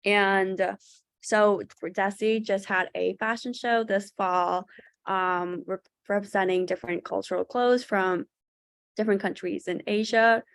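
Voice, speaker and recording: A woman's voice: medium pitch, fairly even intonation, a little slow, no room sound. Earnest young woman on a dry video call. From Thailand. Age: young adult. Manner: earnest, with frequent fillers and restarts. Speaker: student panelist. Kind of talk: webinar panel talk.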